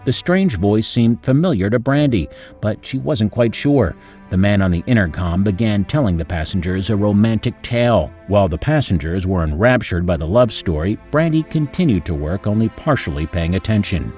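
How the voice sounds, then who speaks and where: Lively low-voiced man narrating over music. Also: moderate pace. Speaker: narrator and host of a story channel. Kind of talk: scripted narration, dramatic storytelling.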